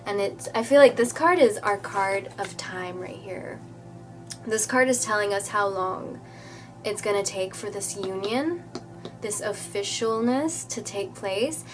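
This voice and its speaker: Woman, nasal from a cold, chatty reassuring tarot reader, mid-pitched, with music running clearly underneath. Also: a little slow. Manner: chatty, reassuring, many fillers and "okay?". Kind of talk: casual tarot reading to camera.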